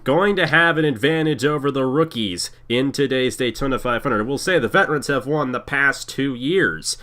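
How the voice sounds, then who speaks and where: Bright congested man calling a sim race. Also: medium pitch, normal speed. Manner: energetic announcer cadence, sing-song emphasis. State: congested from a cold. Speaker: play-by-play commentator. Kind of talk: sim racing broadcast commentary.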